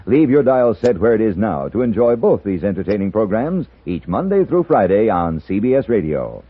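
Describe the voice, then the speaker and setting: Casting: male 1950s network announcer, low voice with lively swings, scripted promo, tinny old radio sound. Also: normal speed.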